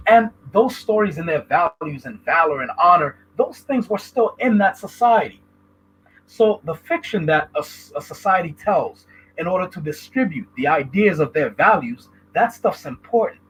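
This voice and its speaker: A man's voice: on the high side, lively intonation, normal speed, fairly bright tone, breathy edge, poor audio. A man from Haiti hosting a livestream, giving emphatic opinion commentary and stopping often to ask "right?". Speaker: channel host.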